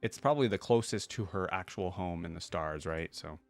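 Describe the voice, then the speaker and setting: A man's voice: sits low, brisk, no echo, soft background music. A man hosting a reaction video, giving casual, chatty commentary and thinking aloud in an informal way.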